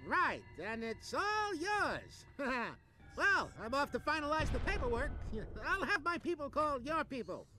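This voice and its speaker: A man voicing a fast-talking alien talent agent in a video game, with slick salesman patter. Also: very high-pitched, very animated, over music, full character voice, low-quality recording. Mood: salesy.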